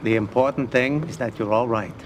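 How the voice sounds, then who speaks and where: A man playing a shrewd, composed mob boss in a crime game, gravelly, measured and persuasive. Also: medium pitch, lightly acted. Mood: calm, reassuring. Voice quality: creaky, gravelly. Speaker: video game character actor. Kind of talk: cinematic crime drama acting. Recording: noisy outdoor ambience in game audio.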